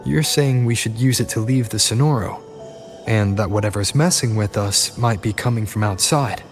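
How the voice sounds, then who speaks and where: An actor playing a steady, protective young male warrior in a video game. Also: fairly low pitch, normal speed, lightly acted. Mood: calm, reassuring. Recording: loud music behind.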